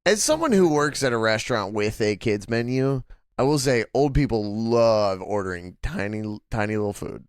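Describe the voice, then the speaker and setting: A man who is a guest on a podcast, chatting casually and teasing with quick deadpan asides. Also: fairly low pitch, smooth, no echo, clean studio sound. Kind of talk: casual chat.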